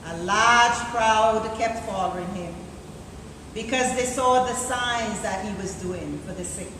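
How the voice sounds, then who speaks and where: A woman reading scripture aloud as a deacon in a church service, deliberate and solemn. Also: medium pitch, a bit bright, distant mic. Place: large church interior, live service. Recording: echoey church from afar, noisy live recording.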